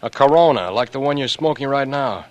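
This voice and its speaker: An actor playing a cocky, suspicious young blackmailer in an old American radio drama, talking in a terse, wary way. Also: male voice, medium pitch, lively intonation, a little fast, darkish tone, dull audio, lightly acted. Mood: accusing. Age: young adult. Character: cagey, brash young small-time blackmailer, suspicious and cocky. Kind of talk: 1940s radio drama acting. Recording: noisy vintage radio broadcast recording.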